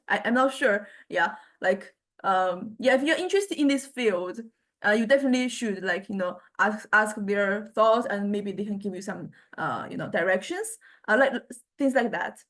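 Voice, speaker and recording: A female university lecturer talks to her class in an informal way. Her voice sits a little on the high side. She moves forward haltingly, often repeating words as she shapes each phrase. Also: a bit bright.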